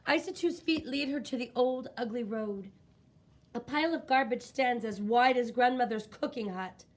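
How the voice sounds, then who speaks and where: Higher-voiced woman reading a storybook. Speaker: children's book reader. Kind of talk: expressive scripted storybook narration.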